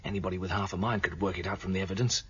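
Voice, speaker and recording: A man's voice: low-pitched, fairly even intonation, racing, fairly bright tone, breathy edge, heavily acted. An actor playing a skeptical male official who is loyal to the system and speaks in a doubtful, matter-of-fact way. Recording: dull lo-fi radio drama recording.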